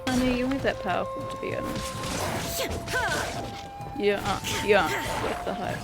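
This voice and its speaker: Woman streamer chatting casually over game music, slow and gravelly, kinda wry. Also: sits high, wildly swooping pitch. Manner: teasing, wry. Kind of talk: casual live-stream commentary.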